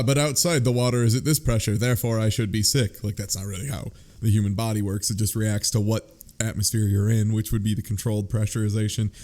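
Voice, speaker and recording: A man's voice: fairly low pitch, a little mellow. A man explaining things in a casual group chat, rambling and analytical, with blunt asides. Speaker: book club panelist.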